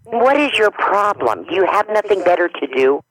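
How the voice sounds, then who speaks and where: A man voicing a hostile old woman on a phone call who curses and threatens in drawn-out words. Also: sits high, sing-song, a bit bright, heavily acted.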